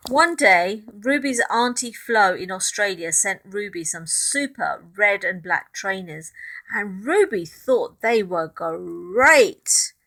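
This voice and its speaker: A woman reading a children's picture book aloud in expressive narration, with asides to young listeners about the pictures. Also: mid-range voice, slow, poor audio. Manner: addresses young listeners, points out pictures, asks questions.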